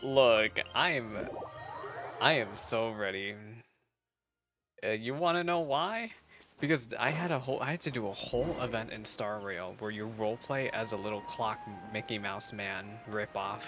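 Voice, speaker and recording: A man giving casual, chatty reactions as he streams a game, in an excitable, nerdy way. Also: on the low side, normal speed, music in the background. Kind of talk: casual reaction commentary over gameplay.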